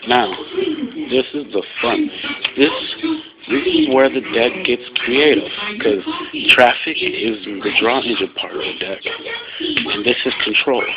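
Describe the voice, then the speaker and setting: A man's voice: mid-range voice, sing-song, slow pace, creaky. A man casually talking through his card game deck off the cuff, explaining and rephrasing his points as he goes. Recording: muffled lo-fi home recording, loud music behind. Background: loud music.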